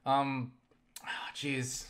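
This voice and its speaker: A man chatting casually and haltingly about games, swearing freely in an animated way. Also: medium pitch. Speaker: video creator answering viewer questions. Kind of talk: casual rambling game chat.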